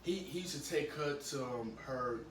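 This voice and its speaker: Podcast host, young man, halting and chatty, mid pitch, echoey room with faint noise behind. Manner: rambling, halting, many restarts. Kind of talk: casual chat. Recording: echoey room, mic at a distance, faint hum.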